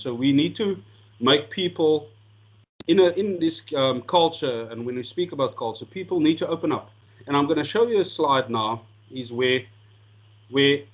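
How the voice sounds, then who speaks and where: A man's voice: medium pitch, slightly rough. South african guy, afrikaans first language, earnest coaching talk, stumbles and repeats a lot, kinda dull lo-fi sound. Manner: earnest, repeats words as he restarts. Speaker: sport coaching lecturer. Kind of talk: presentation talk with slides.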